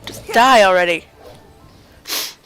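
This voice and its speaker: A woman's voice: mid-range voice, slow, fairly bright tone. Woman muttering through casual gameplay commentary. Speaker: game streamer. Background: game music.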